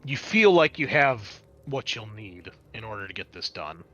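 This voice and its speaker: Bright-voiced man running a tabletop game. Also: on the low side, big pitch swings, normal speed, dull audio. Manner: explanatory, conversational. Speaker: game master. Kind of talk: narrating a tabletop roleplaying game, improvised.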